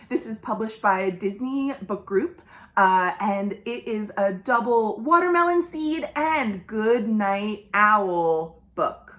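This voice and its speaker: A woman's voice: fairly high pitch, a bit bright. A woman hosting a storytime for young children, speaking expressively and directly to her young listeners. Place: indoors at home.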